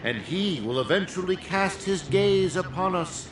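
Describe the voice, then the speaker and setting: An actor voicing a solemn, grandiose cult-leader villain in a video game, preaching ceremoniously to followers. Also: male voice, sits high, expressive, unhurried, background noise. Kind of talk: video game character acting. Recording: echoey and distant, loud music behind.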